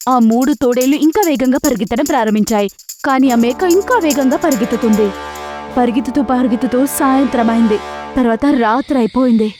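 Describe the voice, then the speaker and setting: A woman narrates a scripted story for animation. Her voice sits fairly high, and music plays clearly underneath her narration. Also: fast talker.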